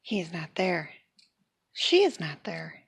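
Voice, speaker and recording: A woman giving an expressive read-aloud of a children's picture book, acting out the characters. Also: on the low side, takes it slowly, vocal fry, slightly rough.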